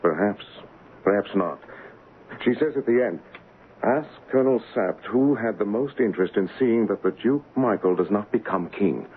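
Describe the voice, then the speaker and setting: A man acting a bold, courtly gentleman posing as a king in a 1970s radio drama, composed and measured. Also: on the low side, lively intonation, slight background noise, close to an everyday voice. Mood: resolute. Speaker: actor and first-person narrator. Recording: dull old radio broadcast with music.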